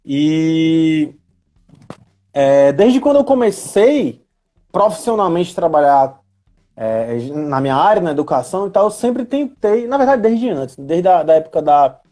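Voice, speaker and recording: A man's voice: fairly high pitch, sing-song, measured pace, dark tone. A man in his thirties with a Northeastern Brazilian accent, explaining things in a casual live chat, often checking in with little tag questions. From Ceará, Brazil. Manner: chatty, frequent tag questions seeking agreement. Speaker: guest, history teacher and educational coordinator.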